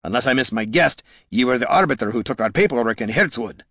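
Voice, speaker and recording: A man voicing a gruff, shrewd, menacing dwarf adventurer with blunt rustic speech in a fantasy audiobook. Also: mid-range voice, lively intonation, fast, bright, forceful tone, dry recording, clean studio sound. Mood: stern, shrewd.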